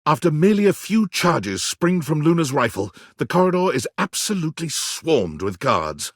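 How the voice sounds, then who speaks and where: A man's voice: mid-range voice, breathy edge, no room sound, clean studio sound. A man playing a narrator who tells a sci-fi video game adventure in dramatic, scripted storytelling.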